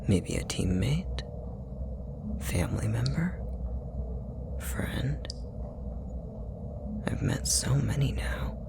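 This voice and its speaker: A man voicing a possessive, sadistic demon in an ASMR roleplay, whispering slowly with a breathy creak and taunting, mock-affectionate menace. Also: mid-range voice, exaggerated voice. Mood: threatening, playful.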